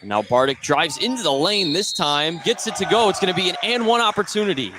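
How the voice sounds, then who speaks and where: A man's voice: sits high, expressive, fairly quick. A man doing live play-by-play radio commentary on basketball, in clipped running phrases that follow the action. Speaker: play-by-play announcer. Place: indoor basketball game. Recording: clear background noise from live gym.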